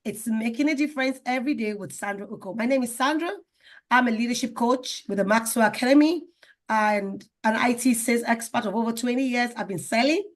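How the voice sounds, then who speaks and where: A woman's voice: a little high, brisk. A woman hosting a talk show in an enthusiastic, effusive manner, repeating phrases for emphasis. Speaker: show host.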